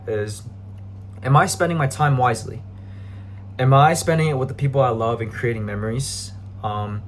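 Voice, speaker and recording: A young man in his early twenties talks straight to the camera in a loose, reflective way. He rambles, stumbles over his words, and fills his pauses with lots of "um"s. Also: mid-range voice, slight background noise. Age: 20s. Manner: rambling with many "um"s and restarts, self-aware and laughing at himself. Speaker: vlogger. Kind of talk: casual talking-to-camera reflection.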